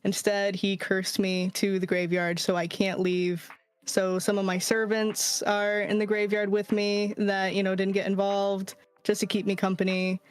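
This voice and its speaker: A woman's voice: mid-range voice, little pitch movement, normal speed, clean tone. A woman with a Boston accent, a guest chatting casually about her character's backstory, full of fillers and casual swearing. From near Boston, US East Coast. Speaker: guest, VTuber.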